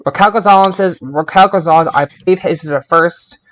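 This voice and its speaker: A man's voice: fairly high pitch, fairly quick, a bit bright. A man hosting a podcast, leading a casual wrestling review chat. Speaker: podcast host leading the show.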